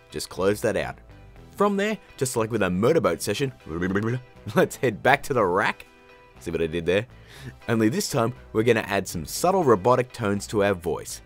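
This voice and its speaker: A man hosting a casual, scripted software tutorial in a jokey, slangy, informal way. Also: lowish voice. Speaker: tutorial host.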